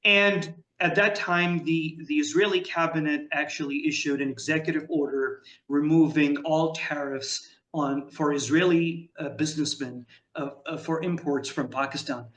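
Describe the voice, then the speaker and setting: A man's voice: a little high, steady tone, normal speed, fairly bright tone, roomy sound, low-quality recording. A man speaking as a panel guest in an online discussion, with stammering starts and long, winding sentences.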